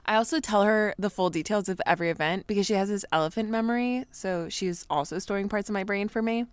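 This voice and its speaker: A woman's voice: medium pitch, fast, smooth, no room sound, close to an everyday voice. An actress in her twenties playing an anxious, candid young woman recording a rambling, self-deprecating audio diary in an audio drama. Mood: excited, confiding. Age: 20s. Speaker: lead actor in an audio drama. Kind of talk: confessional audio diary monologue.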